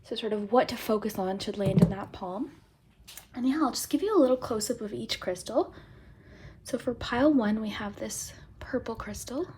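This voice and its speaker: A young woman with a fairly high, mellow voice, a little hoarse from a sore throat, hosting a chatty pick-a-card tarot reading. She speaks in a friendly, soft way and slips into whispers as she lays out the cards. A faint hiss sits under the recording. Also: moderate pace. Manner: friendly and soft-spoken, drops to a whisper at times. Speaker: tarot reader and channel host.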